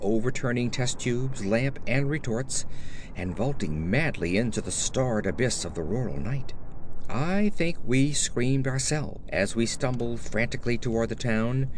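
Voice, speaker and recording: A man giving a theatrical, grave first-person reading of gothic horror fiction as an audiobook narrator, with deliberate emphasis. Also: medium pitch, slight background noise.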